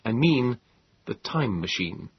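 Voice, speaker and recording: A man voicing a young, cheerful and stubborn male inventor with a bright, brisk manner. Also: medium pitch, big pitch swings, husky, lo-fi sound, exaggerated voice.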